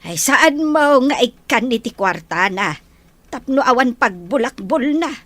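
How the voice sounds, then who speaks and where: A woman's voice: on the high side, expressive, a little slow, fairly bright tone, no echo. A woman playing a blunt, meddling older mother who nags, in an audio drama with an Ilocano Filipino accent. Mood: chiding.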